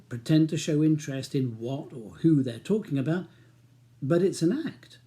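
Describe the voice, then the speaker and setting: A man's voice: on the high side, dark tone. A middle-aged man with an English accent reading his own novel aloud as audiobook narration.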